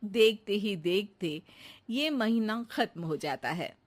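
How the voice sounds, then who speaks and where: A woman's voice: medium pitch, expressive, takes it slowly. A woman narrating a cartoon story as a scripted storyteller.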